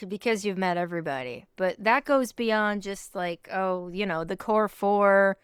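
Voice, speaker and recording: A woman's voice: mid-range voice, unhurried, clean tone, no room sound, studio quality. A woman hosting a podcast, interviewing in a casual, chatty style. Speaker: podcast host.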